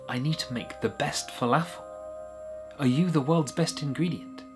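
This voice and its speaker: A man's voice: on the high side, moderate pace, music in the background, natural voice. A man voicing a female pig chef in a short story, determined, wary and plain-spoken.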